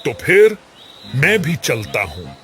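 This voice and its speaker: A man voicing a huge older elephant in an animated story, with a ponderous, drawn-out delivery. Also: medium pitch, a little hiss or hum, full character voice. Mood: resolved. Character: huge elephant, older, doubtful but loyal companion.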